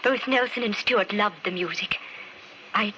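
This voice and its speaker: An actress in an old radio drama playing a genteel widow, sweetly anxious and pleading, with halting, theatrical phrasing. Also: female voice, mid-range voice, dull audio. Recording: bright, hissy old radio recording.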